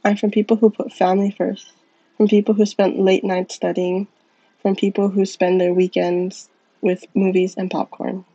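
A woman's voice: medium pitch, level tone, moderate pace, dark tone. A teenage girl reading her own poem aloud steadily, on a muffled lo-fi recording. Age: teens. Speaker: student.

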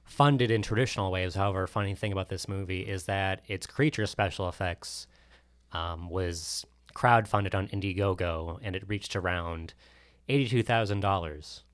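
A man with a very low, smooth voice talks casually as a podcast co-host. He rambles a little, hedging and dropping in asides as he discusses movies. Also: moderate pace.

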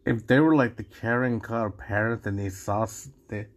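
A man's voice: lowish voice, steady tone, moderate pace. A man from Mexico hosting a solo podcast, talking casually in a rambling way with many restarts and asides. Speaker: podcast host.

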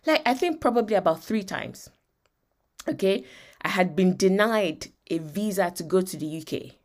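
A woman's voice: mid-range voice, lively intonation, moderate pace, a little mellow. Emphatic Nigerian woman teaching on faith. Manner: emphatic, repeats phrases and checks in with listeners. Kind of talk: motivational faith teaching with personal testimony.

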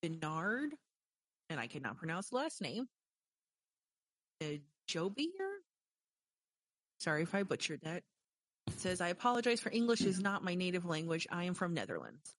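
Female podcast co-host, low-pitched, reads listener mail, amused, dry close sound. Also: very animated, moderate pace, pauses often, no echo. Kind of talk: reading emails aloud with asides.

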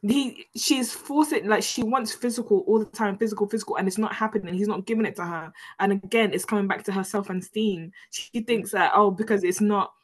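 A woman's voice: mid-range voice, brisk, few pauses. A woman co-hosting a podcast in casual, emphatic chat, repeating words to stress her point. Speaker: podcast co-host. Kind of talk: casual chat, reality TV recap.

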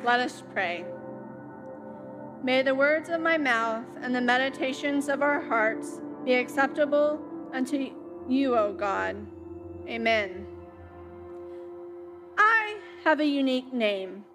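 A young woman, a summer intern, reading scripture and preaching a sermon in a church, with a slow, careful delivery. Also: sits high, a bit bright. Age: young adult. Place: church sanctuary.

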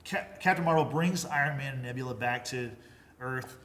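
A man's voice: mid-range voice, normal speed, fairly bright tone. A man co-hosting a podcast, discussing a film casually in informal, slangy language. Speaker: podcast co-host. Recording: roomy echo, faint background noise.

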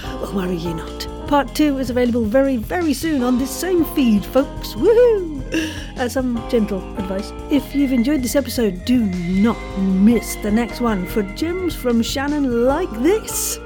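A woman's voice: a little high, very animated, measured pace, over music. A woman hosting a chatty interview podcast in an enthusiastic, playful way. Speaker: podcast host, voice actor.

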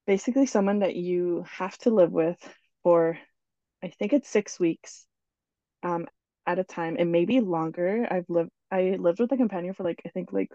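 A woman's voice: lowish voice, no room sound. Friendly young woman, slightly muffled audio. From born in Ecuador, raised in New Jersey. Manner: friendly, relaxed explaining. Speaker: podcast co-host. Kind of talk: casual chat.

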